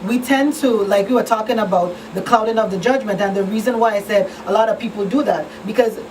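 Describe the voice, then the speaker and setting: A woman's voice: mid-range voice, fast talker, a little mellow. A woman talking conversationally in a panel discussion, explaining her points and often checking that listeners follow. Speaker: talk show co-host and victim advocate. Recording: distant mic, faint background noise.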